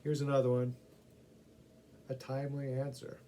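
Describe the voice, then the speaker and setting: A man's voice: medium pitch, monotone, moderate pace, dark tone. A middle-aged man reading short humorous stories aloud, with casual asides to the listeners. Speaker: story reader. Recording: faint background noise.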